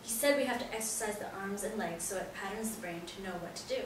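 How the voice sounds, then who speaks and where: A woman's voice: mid-range voice, fast, natural voice. A woman in a staged play reading, playing an anxious, hopeful mother who coaxes and reassures her injured son. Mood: soothing, hopeful. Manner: coaxing, reassuring. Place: live stage reading in a theatre room. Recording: very echoey room, distant mic, hissy noise.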